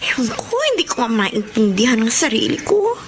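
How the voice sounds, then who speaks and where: Earnest worried young girl in love, highish voice, radio drama, noisy audio. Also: very animated, fairly quick. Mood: troubled. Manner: earnest, polite. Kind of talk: radio drama acting and narration.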